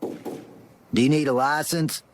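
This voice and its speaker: Slow, nosy cartoon conspiracy nut. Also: male voice, fairly high pitch, fairly even intonation, a little hiss or hum. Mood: sly interest. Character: nosy, paranoid anti-government conspiracy man in cartoon. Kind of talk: cartoon voice acting.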